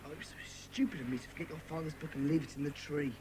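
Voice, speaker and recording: A woman playing an excitable, kindly, slightly nervous schoolboy in a children's audio drama, expressive and exclamatory. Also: sits low, racing, lightly acted. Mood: uneasy. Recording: clear background noise.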